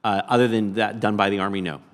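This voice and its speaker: A man's voice: on the low side, steady tone, fast talker. An American man giving formal testimony as a government official. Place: large indoor hearing room. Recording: reverberant hearing room.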